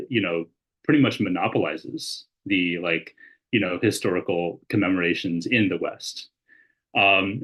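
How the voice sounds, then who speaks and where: A man appearing as a podcast guest, explaining in a measured way and reading quotes aloud. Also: on the low side, bright, forceful tone, rough recording. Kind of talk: casual discussion, reading quotes.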